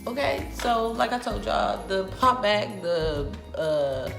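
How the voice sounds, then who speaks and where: A woman in her twenties with an American accent tells a personal story in a casual, animated, emphatic and sassy vlog style. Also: medium pitch, slow pace, vocal fry. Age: 20s. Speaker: vlogger. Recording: roomy echo with music behind.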